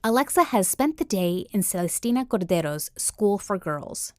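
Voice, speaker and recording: A woman presenting a podcast with scripted narration. Her pitch is medium, and the recording is very dry, like a studio. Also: big pitch swings, moderate pace, darkish tone, dry recording.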